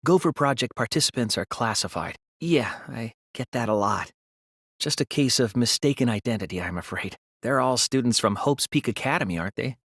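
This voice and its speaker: A man voicing an earnest, anxious, thoughtful teenage student detective in a video game, with a hesitant, soft, slightly husky delivery. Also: medium pitch, lively intonation, brisk, no room sound, studio quality. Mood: subdued, modest. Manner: hesitant, stammering when flustered, earnest. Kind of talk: English video game character voice acting.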